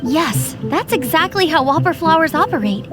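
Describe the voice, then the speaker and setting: A woman's voice: high voice, lively intonation, moderate pace, music in the background. An actress playing a friendly, frank young female outdoor instructor in a fantasy game. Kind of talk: scripted video game voice acting.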